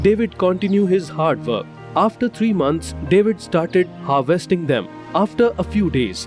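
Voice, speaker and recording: A male narrator reads a scripted moral tale in a high-pitched, lively voice. Faint music runs underneath. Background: faint background music.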